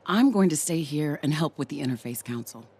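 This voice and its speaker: Young game scientist, wry, sarcastic, casual, hopeful underneath, mid-low for a woman. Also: expressive, a little fast, warm tone, husky, full character voice. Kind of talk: video game voice acting.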